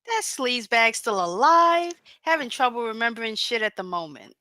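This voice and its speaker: A woman's voice: a little high, expressive, normal speed, bright, forceful tone, dry recording. A woman at a screenplay table read playing a captive action hero, defiant, foul-mouthed and mockingly sarcastic. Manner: taunting, sarcastic, profane.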